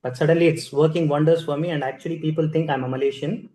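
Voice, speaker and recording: Indian man, chief guest speech, mellow slightly high voice, echoey hall. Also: fairly even intonation, quick pace. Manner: storytelling, conversational, many fillers and restarts. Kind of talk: personal story speech at a ceremony.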